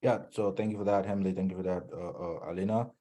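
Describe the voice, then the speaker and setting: A man's voice: low-pitched, fairly even intonation, quick pace, dark tone. A man from Cameroon, moderating an online Q&A in a hesitant way full of fillers and restarts. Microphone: video call.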